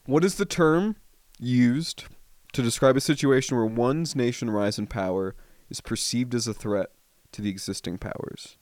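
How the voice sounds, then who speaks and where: A man hosting a casual podcast quiz, reading questions slowly and teasing the players. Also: mid-range voice, darkish tone, no room sound. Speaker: quiz host.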